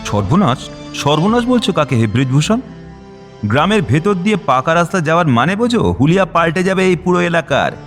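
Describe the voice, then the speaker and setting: An actor in a radio drama playing a domineering, hot-tempered official with a hectoring, sneering manner. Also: male voice, on the high side, expressive, fast, faint music behind, close to an everyday voice. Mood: indignant. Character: domineering, hot-tempered male project official, scornful boss. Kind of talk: Bengali radio drama acting.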